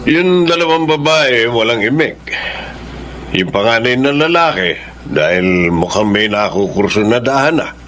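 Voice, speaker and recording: A man's voice: mid-range voice, animated, unhurried, fairly bright tone, slight background noise. A man playing a plain, burdened middle-aged husband and father in a Filipino radio drama. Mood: matter-of-fact.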